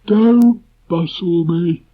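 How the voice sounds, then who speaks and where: High, slow, gloomy storybook donkey. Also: male voice, heavily acted. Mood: aggrieved. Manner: ponderous, halting, doleful declaiming. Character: gloomy, self-important old male donkey, slow and aggrieved. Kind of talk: children's storybook character voice. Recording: muffled old cassette tape transfer.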